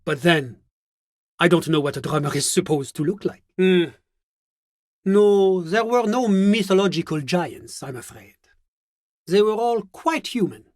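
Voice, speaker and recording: An actor playing a polite, evasive diplomatic official in a video game, speaking in a formal, measured, officious manner. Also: male voice, sits high, dry recording, clean studio sound. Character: polite, evasive, fastidious male diplomatic bureaucrat. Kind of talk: video game character voice acting.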